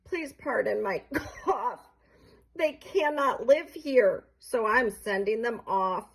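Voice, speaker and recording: Woman acting as small indignant storybook creature, high voice, wheezy, snappish, unhurried delivery. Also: animated, full character voice. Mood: mournful. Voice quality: croaking, coughing. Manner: snappish, pleading on behalf of animals. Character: indignant scolding little forest creature, wheezy from smog.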